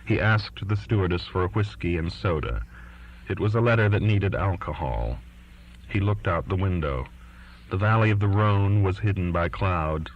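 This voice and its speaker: A man reading literary fiction aloud as a scripted audiobook narration, in a lo-fi recording. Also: sits low. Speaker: audiobook reader. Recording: dull lo-fi recording.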